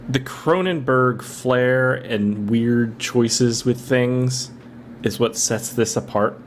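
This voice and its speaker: A man's voice: mid-range voice, slow pace. Rambling male movie podcaster, faint noise. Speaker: podcast co-host. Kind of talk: casual film discussion.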